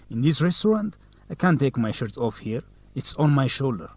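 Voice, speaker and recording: A man's voice: medium pitch, sing-song, normal speed, a little mellow, no room sound. Charming young man, dry sound. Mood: playful. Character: Zegai, charming young Ethiopian man courting on a date. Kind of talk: radio drama acting.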